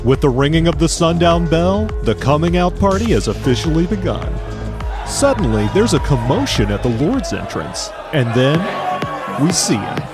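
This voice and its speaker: An actor playing a wry, dramatic reality-show narrator, reading scripted narration in an audio drama. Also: male voice, on the high side, sing-song, moderate pace, over music, crowd noise.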